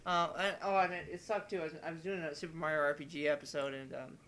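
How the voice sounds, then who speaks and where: A young man with a notably high, bright and forceful voice, talking as a podcast host among friends. He rambles casually and excitably, giggling through his stories and slipping into acted-out quotes. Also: quick pace, a little hiss or hum. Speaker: podcast host and video maker.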